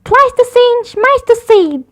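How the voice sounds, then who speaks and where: Woman voicing an excitable, high-pitched little girl bear cub. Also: slow pace, full character voice. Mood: indignant.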